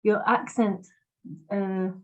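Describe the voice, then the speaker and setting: A woman learning Swahili on a video call. She speaks haltingly and searches for words, with many fillers. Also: medium pitch, fairly even intonation, dull audio.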